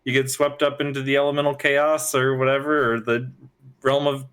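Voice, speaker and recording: Easygoing man, middle-pitched and slangy. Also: little pitch movement, moderate pace, a bit bright, smooth. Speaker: podcast host and tabletop player. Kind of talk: casual chat.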